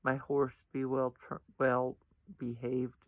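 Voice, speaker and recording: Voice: medium pitch, completely flat delivery, takes it slowly, no room sound. A podcast co-host chatting casually and chiming in with questions and opinions. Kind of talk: casual chat.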